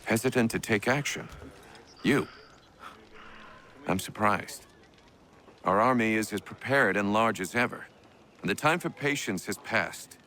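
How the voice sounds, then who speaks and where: An actor playing a resolute older army commander in a video game, formal, measured and authoritative. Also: male voice, fairly low pitch, bright, forceful tone, a little hiss or hum. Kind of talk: game cutscene dialogue.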